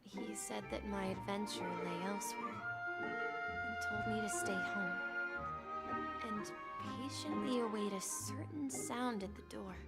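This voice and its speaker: A woman voicing a gentle, wistful young boy in a video game, speaking hesitantly over music. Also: mid-range voice, natural voice. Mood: solemn, nostalgic. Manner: hesitant, halting. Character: gentle, wistful young boy recalling his lost grandfather. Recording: loud music under the voice. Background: loud game music.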